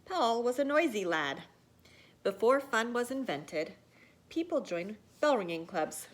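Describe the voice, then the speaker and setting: Female storyteller, schoolteacher type; animated, theatrical read-aloud delivery, fairly high register. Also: measured pace, a little hiss or hum. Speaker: teacher reading aloud.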